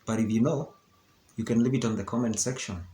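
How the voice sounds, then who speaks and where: A man's voice: medium pitch, a little fast, dark tone. A young Kenyan man with a Kenyan accent, English not his first language, explaining things in a chatty vlog, often checking in with "okay? yeah". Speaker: vlogger. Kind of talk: casual educational vlog talk. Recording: roomy echo with faint background hiss.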